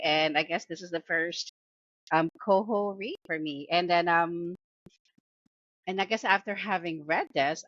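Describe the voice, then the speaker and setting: A woman with a Filipino accent talks loosely about a book, full of "um"s and restarts, laughing as she goes. Her voice sits a bit low and sounds dull and lo-fi. The recording is close and dry. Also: fairly bright tone, no echo. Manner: chatty, full of fillers and restarts, laughs often. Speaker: book podcast co-host. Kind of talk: casual book review chat.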